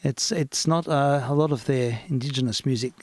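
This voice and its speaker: A man with an Australian accent hosting a casual radio chat, speaking thoughtfully with many pauses, fillers and restarts. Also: medium pitch, a little mellow, dry recording.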